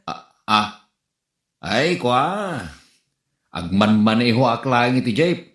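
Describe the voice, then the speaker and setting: A man's voice: medium pitch, sing-song, slow pace, fairly bright tone. Guy doing a henpecked ilocano husband, stammers and hems, voice swings up and down, echoey. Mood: boastful. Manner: stammering, hesitant. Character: flustered married man, boastful, henpecked. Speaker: actor in a comedy skit. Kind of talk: comedy skit acting.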